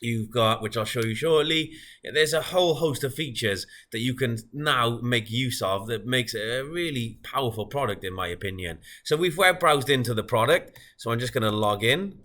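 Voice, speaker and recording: A man's voice: mid-range voice, animated, normal speed, sharp tone. A man demonstrating a product in an informal, explanatory, matter-of-fact way.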